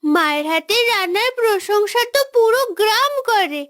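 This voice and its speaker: A woman's voice: very high voice, animated, normal speed, a bit bright. A woman playing an eager, admiring little village girl in a cartoon fairy tale. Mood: hopeful.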